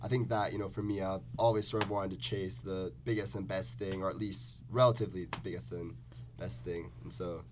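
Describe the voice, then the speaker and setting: A man's voice: sits low, steady tone, brisk. A young man from Jamaica giving conversational interview answers as a student guest. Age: young adult. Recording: clearly audible background noise.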